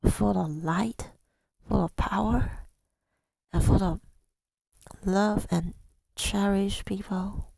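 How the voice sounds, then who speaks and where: A woman telling a personal story in ASMR style, softly and breathily, often near a whisper, with a halting, reflective delivery. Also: medium pitch, steady tone, creaky, dry recording. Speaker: ASMR creator.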